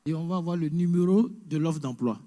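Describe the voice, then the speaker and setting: A man's voice: fairly high pitch, fairly quick, dark tone. A man giving an information session, explaining step by step and mixing English words into French. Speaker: immigration presenter. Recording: echoey hall.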